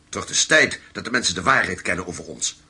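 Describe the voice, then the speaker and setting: A man's voice: sits low, lively intonation, fast, sharp tone, slightly rough, exaggerated voice. An actor in a radio drama playing a polite, hesitant man who seeks out old comrades. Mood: earnest. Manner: courteous, hesitant.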